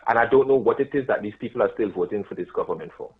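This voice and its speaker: A man in his thirties with a Tobagonian accent, calling into a radio show and speaking earnestly with halting restarts. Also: on the low side. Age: 30s. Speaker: caller. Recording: phone line.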